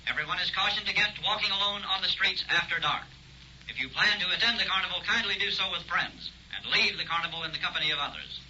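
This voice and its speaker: A rapid-fire news announcer, with a bright, forceful voice pitched high. He delivers the bulletin in urgent, clipped bursts, and a faint hiss sits over the dull old-time sound. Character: brisk male radio news announcer.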